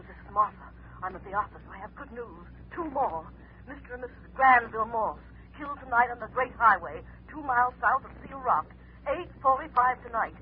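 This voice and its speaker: A woman playing an accomplice who calls on the phone with a hurried, matter-of-fact report in a 1940s radio drama. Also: on the high side, a little hiss or hum, close to an everyday voice. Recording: tinny phone line on an old radio recording.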